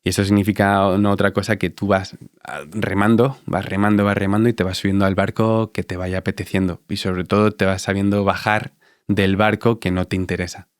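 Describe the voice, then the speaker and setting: A man in his thirties with an Andalusian Spanish accent, answering interview questions in a reflective, halting way with many fillers. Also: low-pitched, level tone, clear, resonant tone, no echo, studio quality. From Spain. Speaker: dentist guest.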